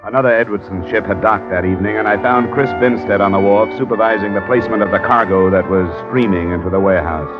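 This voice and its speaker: A man's voice: lowish voice, fast, hardly any pauses, over loud music, close to an everyday voice. A man playing a hard-boiled insurance investigator in old American radio drama, clipped and matter-of-fact, with an American accent. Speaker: lead actor and narrator. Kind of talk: 1940s-50s American radio detective acting. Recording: muffled old radio recording.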